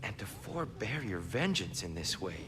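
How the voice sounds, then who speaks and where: Gentle, lowish-voiced peace-loving god. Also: male voice, very animated, normal speed. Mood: sympathetic. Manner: soft-spoken, earnest, courteous. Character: gentle, humble male god of peace, former diplomat. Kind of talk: dramatic video game acting. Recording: reverberant space with clear ambient noise.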